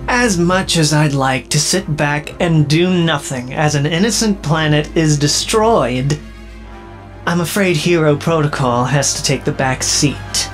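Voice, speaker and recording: A woman's voice: sits low, a little slow, faint music behind. A woman voicing a dry, sardonic alien robot hero in a cartoon comic dub. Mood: wry. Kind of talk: animated comic dub acting.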